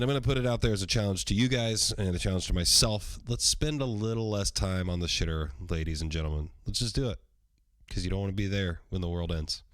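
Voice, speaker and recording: A man with a deep, hoarse voice hosts his own podcast livestream. He talks in a loose, rambling way, swears freely and fills his pauses with ums. The sound is dry and close, with no room around it. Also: no room sound. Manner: rambling and profane, with many ums. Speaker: podcast host. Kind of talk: casual solo podcast livestream.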